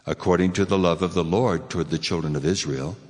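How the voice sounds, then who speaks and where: A very low divine male voice, acted by a man, ringing out in long reverb. He is solemn and commanding, like God speaking in a scripture drama. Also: a little fast, darkish tone, natural voice.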